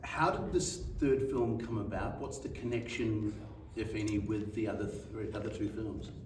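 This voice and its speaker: A man's voice: lowish voice, normal speed, darkish tone. A man hosting a live festival Q&A on a cinema stage, in a loose, chatty manner full of fillers. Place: cinema stage before an audience. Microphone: faulty handheld mic. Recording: echoey venue, distant mic, background noise.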